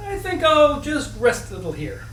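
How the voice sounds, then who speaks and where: A modest male pig from a storybook , acted out by a man reading aloud, who stalls and makes halting, evasive excuses. The recording is roomy and distant, with faint noise. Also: very high-pitched, huge pitch swings, a bit bright, pitched above the performer's own voice, exaggerated voice. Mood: evasive, weary.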